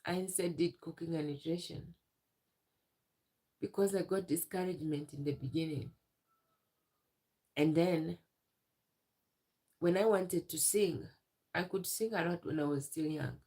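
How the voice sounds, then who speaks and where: A young woman on a live stream, tearful and emotional, talking in halting phrases and repeating herself. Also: fairly low pitch, dark tone, breathy edge. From Uganda. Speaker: livestreamer.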